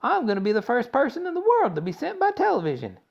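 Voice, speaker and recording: A man reading aloud and voicing a loud, cocky boy obsessed with television. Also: fairly high pitch, lively intonation, normal speed, gravelly, voice pushed up. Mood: brash. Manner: shouty, cocky. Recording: dull lo-fi recording.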